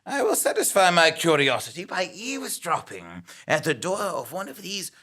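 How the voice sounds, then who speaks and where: A man's voice: high-pitched, big pitch swings, moderate pace, sharp tone, full character voice. A man playing a melodramatic, lovelorn, disgraced male clerk in an audio comedy drama, theatrical and grandiose. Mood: grandiose scheming. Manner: theatrical, grandiose, swooping between murmurs and cries.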